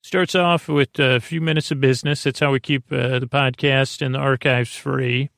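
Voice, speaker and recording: Creaky-voiced man rambling a bedtime monologue. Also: mid-range voice, fairly even intonation, moderate pace, fairly bright tone, no room sound, clean studio sound. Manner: meandering, hesitant, tangent-prone. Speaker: podcast host.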